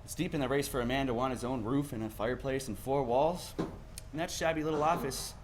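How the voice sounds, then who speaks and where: A man's voice: mid-range voice, fairly quick, background noise, natural voice. Weary, kindly older father played by a man. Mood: gently earnest. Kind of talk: 1940s radio play acting.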